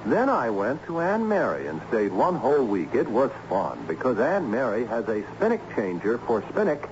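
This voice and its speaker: An actor playing a harried, ironic assistant principal in an old-time radio drama, theatrical and patiently explanatory. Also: male voice, medium pitch, wildly swooping pitch, normal speed. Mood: enthused, explanatory. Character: harried, excitable male school assistant principal with ironic humour. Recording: dull, noisy old radio recording.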